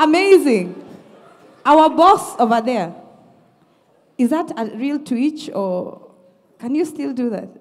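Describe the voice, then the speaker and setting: A woman's voice: high-pitched, sing-song, slow, pauses often. A woman giving emphatic, effusive spoken feedback to performers as a judge, recorded in a reverberant auditorium. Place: large indoor theatre auditorium. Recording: echoey auditorium with long reverb.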